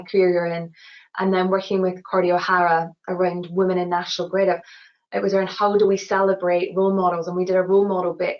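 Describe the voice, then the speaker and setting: A woman with a Glasgow accent answering questions in a webinar, earnest and full of "you know" and restarts. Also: fairly low pitch. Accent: Scottish (Glasgow). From Glasgow, Scotland. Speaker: award-winning engineer guest. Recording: dull lo-fi webinar audio.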